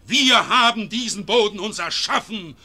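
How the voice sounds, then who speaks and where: Male actor as a fervent mountain leader in a radio play; bright, piercing, declamatory. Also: high-pitched, lively intonation, moderate pace, full character voice. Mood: rousing. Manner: declamatory, rising to fervent oratory. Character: stern male confederate leader, rousing orator. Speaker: Swiss confederate leader addressing the assembly. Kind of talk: classic German radio play acting.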